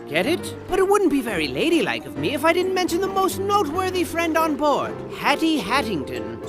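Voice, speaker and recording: An actor playing a flamboyant, silly storybook narrator in a comedic cartoon game, melodramatic and mock-grand with slangy asides. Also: male voice, extremely high pitch, heavily acted. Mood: playful, jolly.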